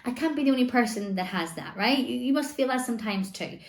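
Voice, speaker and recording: A woman's voice: fairly high pitch, quick pace, roomy sound. A woman, chatting casually to camera as a vlogger, her voice still slightly worn from laryngitis, rambling with lots of "like" and "you know". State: recovering voice after laryngitis. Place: home room.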